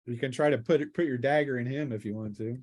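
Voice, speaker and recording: A man's voice: medium pitch, fast, a little mellow. A man acting as game master, casually narrating a tabletop role-playing session and piecing scenes together aloud as he goes.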